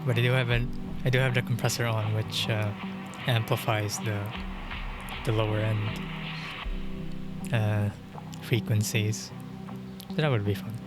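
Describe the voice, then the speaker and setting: Slow-talking, rambling young man streamer. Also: on the low side, level tone. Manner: rambling, chuckles often, many fillers. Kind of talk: casual livestream chat while gaming. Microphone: dynamic mic. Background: game music.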